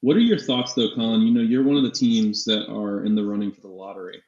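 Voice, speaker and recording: Low voiced guy cohosting a sports chat show, repeats himself, bit muffled and roomy. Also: fast, a little mellow. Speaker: co-host of a fantasy sports show. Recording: slightly dull, echoey room sound.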